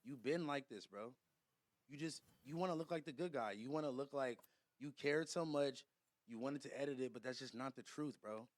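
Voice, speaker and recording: A man's voice: medium pitch, moderate pace, no room sound. A man in a heated podcast argument, speaking confrontationally with a lot of profanity and repeating himself.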